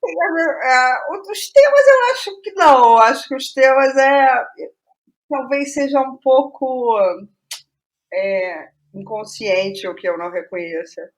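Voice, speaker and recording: A Brazilian woman with a fairly high voice talks in a relaxed interview setting. She reflects as she speaks, feeling her way through answers and often digressing. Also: unhurried, rough recording. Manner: digressive, thinking aloud. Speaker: interviewed playwright. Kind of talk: reflective casual interview conversation.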